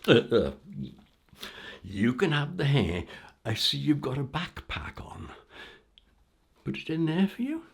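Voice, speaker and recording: A man's voice: medium pitch, very animated, slow pace, gravelly, pauses often, breathy edge, voice pushed up, exaggerated voice. A man voicing a cheeky young hare herder in a folk tale, casual and teasing. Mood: amused.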